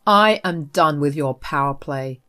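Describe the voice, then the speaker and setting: A woman's voice: low-pitched, unhurried, sharp tone. A woman voicing a firm, protective grown son who scolds sternly in a read-aloud story. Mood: stern angry.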